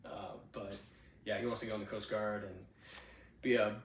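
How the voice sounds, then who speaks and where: A man's voice: lowish voice, level tone, moderate pace, roomy sound, distant mic, slight background noise. A man in his twenties, born in Cuba, chatting casually on a home podcast with a handheld mic in a spare bedroom. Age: 20s. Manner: laid-back, chatty, frequent "you know what I'm saying". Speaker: podcast host. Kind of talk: casual personal storytelling.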